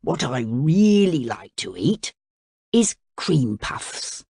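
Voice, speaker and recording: A hungry crocodile with too many teeth, voiced by a woman reading a storybook, speaking in a slow, low drawl. The voice stays husky and breathy. The sound is dry and close. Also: very animated, mellow, dry recording, exaggerated voice. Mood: slow menacing. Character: greedy toothy male crocodile, slow and deep.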